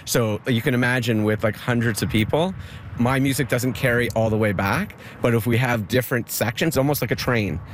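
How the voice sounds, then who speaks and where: A man who teaches fitness and co-runs group bike rides, talking in a relaxed, easygoing way during an outdoor interview. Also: medium pitch, a little fast, a little hiss or hum. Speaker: fitness instructor and ride co-organizer. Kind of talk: casual interview.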